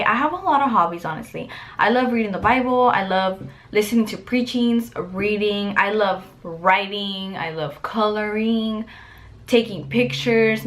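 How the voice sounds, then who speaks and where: Young woman, Mexican-born, Spanish first language; relaxed bedroom vlog chat with loose fillers, close to the camera. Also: mid-range voice. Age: young adult. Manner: chatty, many "like" fillers. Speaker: vlogger. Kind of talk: casual Q&A chat. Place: bedroom, indoors.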